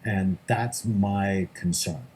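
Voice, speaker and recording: A man's voice: sits low, steady tone, slow pace, a little mellow, slight background noise. A middle-aged man, a psychiatrist, answering interview questions in an emphatic way, often stressing his points in short lists. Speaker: psychiatrist guest.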